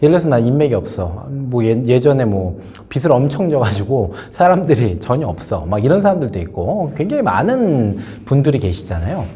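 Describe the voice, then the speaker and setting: Guy with a cold and a sore throat teaching a business lesson, voice jumps up and down a lot, mellow low tone. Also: a little fast, few pauses. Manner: lively, emphatic, with many fillers and asides. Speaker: host giving a business lesson. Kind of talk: casual spoken lecture to camera.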